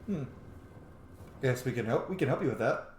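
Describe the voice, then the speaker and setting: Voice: mid-range voice, animated, moderate pace, a little hiss or hum. A gamer giving casual, enthusiastic commentary while playing, informal and sweary. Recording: roomy echo, game music behind. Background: game music.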